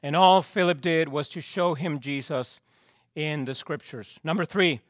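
Slow, emphatic preacher with a strained voice. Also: male voice, dry recording, clean studio sound. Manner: slow, emphatic, repeats key phrases, drops pitch at line ends. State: losing his voice, clearing throat. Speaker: pastor. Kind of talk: sermon.

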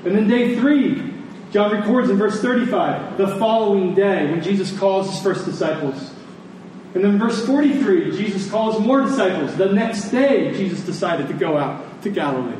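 A man preaching a sermon in a conversational, deliberate way, telling stories and explaining scripture, pausing and repeating phrases for emphasis. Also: high-pitched, animated, a little mellow. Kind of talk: sermon with storytelling and scripture exposition. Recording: echoey room, distant mic, faint noise.

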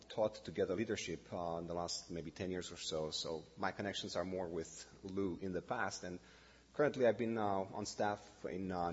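Low, husky Romanian-accented pastor. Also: male voice, big-room sound, highs cut off. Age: middle-aged. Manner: halting, many fillers and self-corrections. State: nervous. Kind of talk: informal sermon introduction. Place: church hall.

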